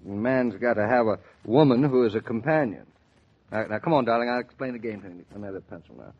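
Easygoing young American husband. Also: male voice, medium pitch, fast, slightly rough. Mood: boyishly eager. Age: 30s. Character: easygoing, teasing young husband, a keen college football fan. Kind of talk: 1940s radio drama acting. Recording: muffled 1940s radio recording.

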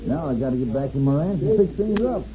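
A man's voice: medium pitch, animated, fast, natural voice. An actor playing an elderly male rancher, a folksy and hopeful father, in a 1950s radio western. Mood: explaining, folksy. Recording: dull old radio recording, crowd noise behind.

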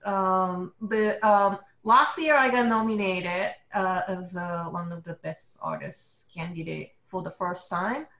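A woman's voice: fairly low pitch, takes it slowly, hardly any pauses, a bit bright, reverberant. A woman in her forties with a Japanese accent, an illustrator chatting about her work with fillers and small laughs. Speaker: illustrator guest. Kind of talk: panel discussion on a call.

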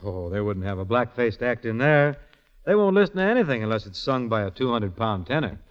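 An actor playing an easygoing, wily stage performer, smooth-talking and casual, in a 1940s radio drama. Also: male voice, mid-range voice, very animated, brisk, close to an everyday voice. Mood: easygoing, sly. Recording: dull 1940s radio recording.

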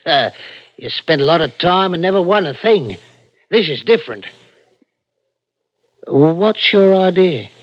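A man acting a surly, defensive working-class husband in vintage radio suspense, gruff and rough in his speech. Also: fairly high pitch, animated, measured pace, pauses often, no echo. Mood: irritable. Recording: dry, dull old radio broadcast.

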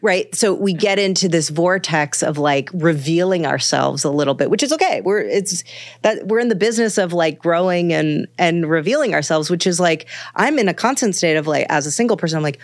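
A woman's voice: lowish voice, dry recording. A woman author talking about her book as a podcast guest, thinking aloud in a meandering way with many fillers and restarts. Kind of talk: casual conversational interview.

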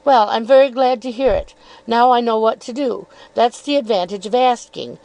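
A woman's voice: a little high, normal speed, gravelly, a little mellow, low-quality recording. A woman doing a sly, needling young lady. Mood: insinuating. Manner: arch, needling questions. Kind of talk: audiobook reading of a novel.

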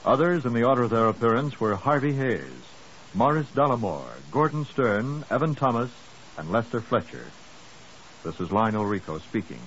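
A male announcer reads in a 1950s radio style. His voice is medium-pitched. The recording is muffled, with faint noise. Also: animated, normal speed, fairly bright tone.

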